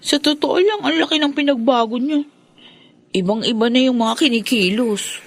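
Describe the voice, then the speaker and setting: An actress playing a concerned, saddened young daughter in a Filipino radio drama. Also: female voice, high-pitched, normal speed, dry recording. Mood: worried, sad.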